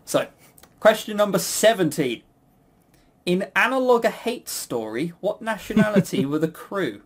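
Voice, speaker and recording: Male quiz host, slightly high voice, casual banter with a playful, needling edge. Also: very animated, a little slow. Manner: teasing, jokey.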